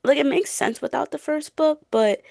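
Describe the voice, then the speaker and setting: A woman's voice: sits high, moderate pace, gravelly, darkish tone, no room sound, clean studio sound. A woman co-hosting a book podcast, chatting informally with youthful slang and frequent self-corrections. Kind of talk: casual chat about books.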